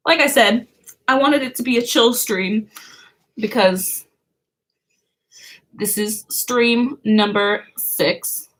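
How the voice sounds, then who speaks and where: A woman's voice: fairly high pitch, takes it slowly, long pauses, a bit bright. A young woman hosting a birthday livestream from home, chatting casually and giggling, with a lot of "like". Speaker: livestream host. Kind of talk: casual chat with viewers. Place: home, indoors.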